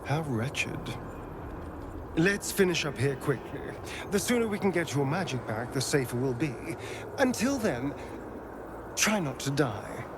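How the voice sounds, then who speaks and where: An actor playing a vain, sardonic adventuring companion in a fantasy video game, with an arch, theatrical delivery and a biting wit. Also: male voice, fairly high pitch, big pitch swings, measured pace, pauses often, background noise. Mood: dry sarcasm.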